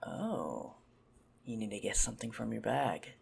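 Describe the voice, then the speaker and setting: Man acting out a slow, gravelly, teasing vampire. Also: on the low side, sing-song, heavily acted. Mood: mocking, teasing. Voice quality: husky, gravelly, breathy. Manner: mocking, slow, condescending, often dropping to a whisper. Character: teasing, menacing, possessive male vampire toying with prey. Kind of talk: ASMR roleplay.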